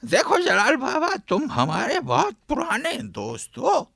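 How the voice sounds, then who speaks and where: A gloating old father and moneylender in a radio play voiced by a woman. The voice is very high and swoops wildly, with a creaky edge. The lines are oily and drawn out, full of chuckling mockery, in a dry recording. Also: wildly swooping pitch, a bit bright, dry recording, exaggerated voice. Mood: wheedling. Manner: drawn-out, sing-song wheedling with chuckles. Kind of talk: radio drama acting.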